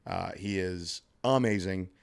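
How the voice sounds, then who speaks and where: A man co-hosting a podcast in casual chat, his voice scratchy and hoarse from allergies, upbeat and joking with lots of fillers. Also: low-pitched, takes it slowly. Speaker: podcast co-host. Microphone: studio microphone.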